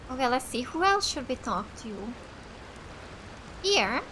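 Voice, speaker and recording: High, bright Finnish-accented woman gamer. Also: a little hiss or hum. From Finland. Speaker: gamer, let's player. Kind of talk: casual game commentary, reading dialogue choices aloud.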